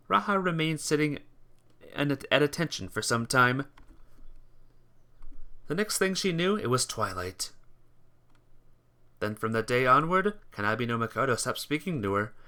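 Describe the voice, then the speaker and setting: A man's voice: medium pitch, sing-song, moderate pace, pauses often, pushed and bright. A man reading a scripted story aloud as narrator, with the occasional small stumble. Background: instrumental music.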